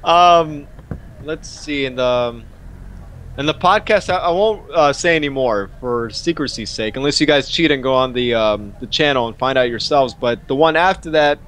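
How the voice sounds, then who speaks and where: A man's voice: medium pitch, moderate pace, a bit bright. A man with an American accent hosting a casual podcast chat, talking with lots of fillers and restarts and laughing often. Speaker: podcast host. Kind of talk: casual group chat over voice call.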